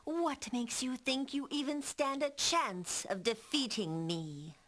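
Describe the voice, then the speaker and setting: A woman's voice: high-pitched, slow, a bit bright, full character voice. A woman playing a sadistic, sneering game villainess who taunts in a theatrical manner. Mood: taunting. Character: sadistic, mocking villainess with a giddy cackle. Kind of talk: theatrical 1990s game voice acting.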